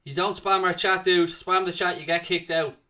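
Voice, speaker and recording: Bright-voiced South Dublin man chatting. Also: high voice, steady tone, normal speed. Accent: South Dublin Irish. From Ireland. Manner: chatty, jokey, answers chat with "mate" and "man". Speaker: host of a football watchalong stream. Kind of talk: casual live-stream chat reading viewer comments.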